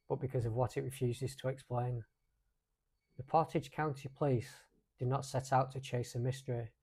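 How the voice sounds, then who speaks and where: A man narrates in a scripted documentary style suited to mysteries. His voice sits at an average male pitch with a soft, dark tone. He speaks in a measured, serious way, setting short phrases apart for dramatic weight.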